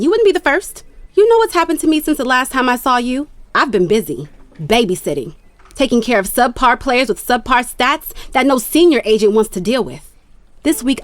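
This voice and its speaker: A sharp young sports agent. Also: female voice, sits high. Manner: crisp, lecturing and cutting. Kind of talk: audio drama dialogue.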